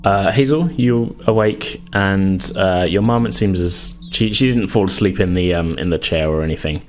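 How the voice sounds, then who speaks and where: A man's voice: low-pitched, normal speed. A man narrating as game master of a tabletop roleplaying game in a conversational, improvised, hesitant way. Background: background music.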